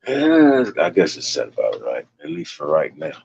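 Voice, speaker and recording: A man with a deep bass voice hosting a casual livestream chat, given to preachy rants. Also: wildly swooping pitch, normal speed, gravelly, a little mellow, breathy edge, dull audio. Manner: ranting, preachy asides. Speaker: stream host.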